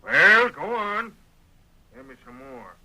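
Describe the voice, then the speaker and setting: Bossy sly old western rancher, american west drawl, really hard bright edge to the voice, old radio show sound. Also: male voice, high-pitched, sing-song, takes it slowly, full character voice, rough recording. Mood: stern, commanding. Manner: bossy, barking orders, folksy drawling speech. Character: crippled, domineering old frontier rancher, gruff and sly. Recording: vintage radio drama recording.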